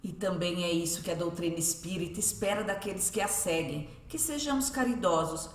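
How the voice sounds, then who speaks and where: A woman's voice: on the low side, brisk, recorded from a distance, close to an everyday voice. A woman acting in a radio drama as a caring, devout mother, homely and earnest, with a Brazilian accent. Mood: devout, reassuring. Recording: echoey room, off the microphone.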